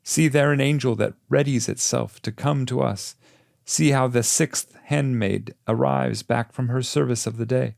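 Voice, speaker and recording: Guy doing a wise old-world poet guide, pitched up from his narrating, formal and urging, dry audiobook sound. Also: fairly even intonation, a little slow, creaky, darkish tone, no echo. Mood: urgent admonishing. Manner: exhorting, formal, instructive. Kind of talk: literary audiobook character reading.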